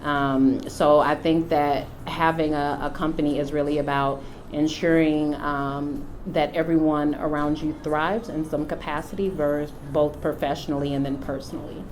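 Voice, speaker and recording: A middle-aged woman from the United States, a company founder, speaking about her work and faith in an unhurried, reflective way with frequent pauses. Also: deep voice, flat delivery, a little mellow, slight background noise. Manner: unhurried, thoughtful, many filler pauses. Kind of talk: reflective personal testimony.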